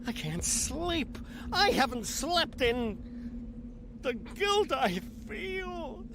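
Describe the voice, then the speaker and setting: A man's voice: extremely high pitch, gravelly, noisy background, exaggerated voice. An actor playing a desperate, weak-willed businessman, pleading and stammering in a video game. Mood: anguished, begging. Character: desperate, weak-willed failed amusement park owner. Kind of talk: dramatic video game acting. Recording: music behind the voice.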